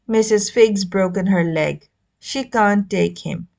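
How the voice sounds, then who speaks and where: A woman's voice: mid-range voice, slow pace, vocal fry. A woman voicing a fussy storybook mother and aunt who swings between cloying and snappish. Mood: worried. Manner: cloying to her son, sharp otherwise. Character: doting, snappish middle-class aunt and mother.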